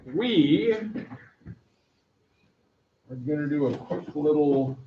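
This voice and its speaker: A man teaching a math class, giving loud, direct, brisk instructions to his students. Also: on the high side, expressive, a little hiss or hum. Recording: echoey classroom, dull lo-fi sound.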